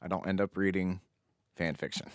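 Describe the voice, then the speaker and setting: A man's voice: sits low, steady tone, normal speed, no room sound, studio quality. A young man co-hosting a podcast in casual banter, rambling and swearing freely. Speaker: podcast co-host.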